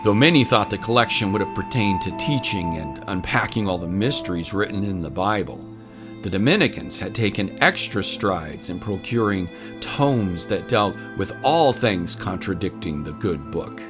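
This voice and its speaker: Deep male storyteller over music. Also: lively intonation, normal speed. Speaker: narrator. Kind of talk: scripted dramatic fiction narration. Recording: music bed under the voice.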